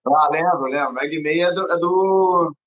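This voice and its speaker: A man's voice: on the high side, moderate pace, a bit bright. A young man from Brasília hosting a casual interview, rambling with many fillers and restarts and laughing often. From Brazil, Brasília. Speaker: podcast host. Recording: dull lo-fi audio.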